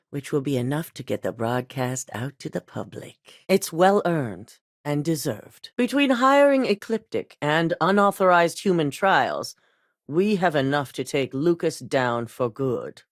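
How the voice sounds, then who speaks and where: An actress voicing a composed, commanding female corporate CEO in a video game, speaking in a measured, authoritative, businesslike way. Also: low voice, no room sound, studio quality, full character voice. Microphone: dry studio voice recording.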